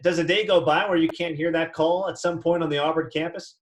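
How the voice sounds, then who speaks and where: A man's voice: on the high side, steady tone, a little fast. A man hosting a sports radio interview, speaking in an earnest, respectful way. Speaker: interviewer and host.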